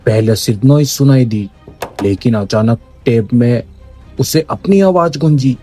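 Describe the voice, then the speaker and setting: A man's voice: medium pitch, a little slow, dark tone. A man giving dramatic, scripted horror narration in an ominous, suspenseful manner, with music behind.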